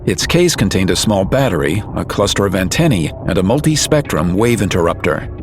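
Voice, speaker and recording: A man narrating a story in a calm, measured, scripted way. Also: low voice. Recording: music under narration.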